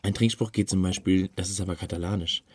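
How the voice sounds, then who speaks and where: A man's voice: sits low, steady tone, fast, breathy edge, no echo, clean studio sound. A man from Dortmund co-hosting a casual talk show, joking and playful. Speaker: podcast co-host. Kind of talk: casual chat.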